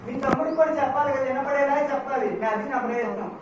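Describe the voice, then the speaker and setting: A host talking playfully with puppets, asking questions and gently admonishing them. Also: high voice, very fast, muffled recording. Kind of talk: playful scripted dialogue with puppets. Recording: very echoey, distant mic, noisy room.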